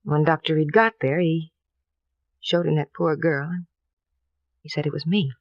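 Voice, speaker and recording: An actress playing a frightened, defensive American doctor's wife, earnest and halting, in a 1950s radio drama. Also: female voice, low-pitched, fairly even intonation, no room sound. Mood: distressed, pleading. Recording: dull, dry vintage radio recording.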